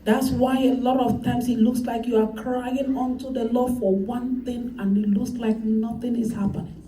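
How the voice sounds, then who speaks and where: A woman's voice: fairly high pitch, little pitch movement, moderate pace, warm tone, slight background noise. A woman pastor preaching a sermon, calling on the congregation to repeat after her and driving points home with repetition. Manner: preaching with call-and-response, emphatic repetition. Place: church hall. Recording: echoey church hall, voice from far off.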